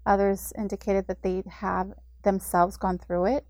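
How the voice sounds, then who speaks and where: A woman's voice: mid-range voice, unhurried, no echo. Woman explaining services, dry close sound. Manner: explanatory, steady, with occasional fillers. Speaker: community services director, guest. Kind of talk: informational interview.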